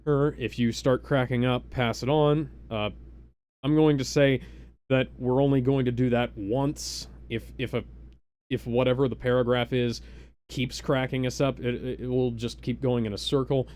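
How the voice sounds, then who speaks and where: A man speaks as the host of a casual live stream, at an ordinary middle pitch. He rambles and hesitates as he explains, slipping in mock-serious asides. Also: little pitch movement, moderate pace, a little mellow. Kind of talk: casual live introduction, explaining party game rules.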